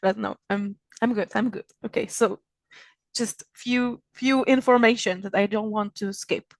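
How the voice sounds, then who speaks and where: A woman from the Czech Republic with a Czech accent, hosting an online event over a video call, speaking in a halting way with many restarts and laughing often. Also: medium pitch, fairly even intonation, gravelly, no room sound. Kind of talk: opening remarks at a virtual event. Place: home in Israel.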